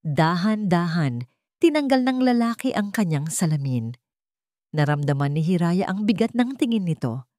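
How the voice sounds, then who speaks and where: A woman reading a scripted dramatic story in a measured, suspenseful way with dramatic pauses. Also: on the low side, sing-song, dark tone, no echo, studio quality.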